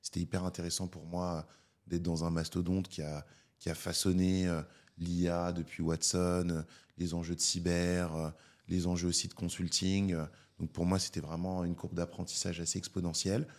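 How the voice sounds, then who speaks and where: A young Parisian man in his twenties, a podcast guest, explaining his career in a measured way with many hesitations. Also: low voice, level tone, a little mellow. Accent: Parisian French. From France. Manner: measured, filler-heavy, explanatory. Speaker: podcast guest, public affairs director. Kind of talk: casual interview talk.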